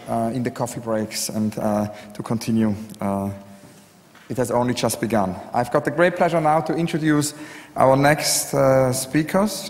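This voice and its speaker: Guy introducing speakers at an event, polite n formal, mid voice, echoey big hall. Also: mid-range voice. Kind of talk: formal spoken introduction, partly read. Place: live on stage in a large hall. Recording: echoey hall with long reverb.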